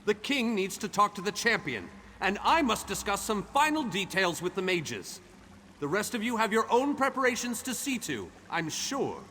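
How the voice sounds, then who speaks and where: In an echoing hall with faint noise behind, a haughty game archmage. His voice is high, bright and very animated, pompous and brisk like someone used to command. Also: exaggerated voice. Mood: brisk, self-assured. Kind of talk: dramatic fantasy game dialogue. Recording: reverberant hall with background noise.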